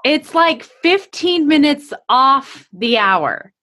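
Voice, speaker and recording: Chatty podcast lady, slightly high voice, casual interviewer style. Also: very animated, slow, sharp tone.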